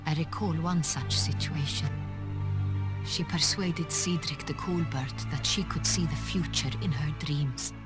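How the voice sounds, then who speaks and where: Low-voiced regal noblewoman, music behind. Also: fairly even intonation. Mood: sorrowful, reflective. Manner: formal, measured, eloquent. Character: poised, regal noblewoman ruling a fantasy duchy. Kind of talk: cinematic fantasy game dialogue. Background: prominent background music.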